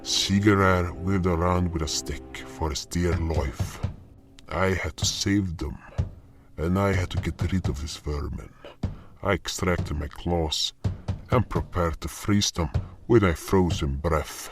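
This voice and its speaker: A man playing a grim, furry, clawed hunter who narrates a fantasy audio drama in a dramatic, deliberate way. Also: sits low. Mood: grave. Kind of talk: scripted first-person story narration. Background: faint music.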